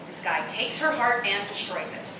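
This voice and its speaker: A woman's voice: mid-range voice, animated, normal speed, natural voice. A woman acting in a live radio drama, playing a blunt, skeptical woman who still hopes for love. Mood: challenging, exasperated. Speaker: actor. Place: roomy live performance space, far from mic. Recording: very echoey, distant live recording, clear noise.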